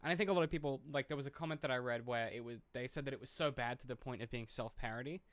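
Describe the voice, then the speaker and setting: A man's voice: medium pitch, very fast, fairly bright tone, no room sound. A man co-hosting a podcast, talking through a film in an informal, explanatory way and swearing freely. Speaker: podcast co-host. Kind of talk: casual film discussion.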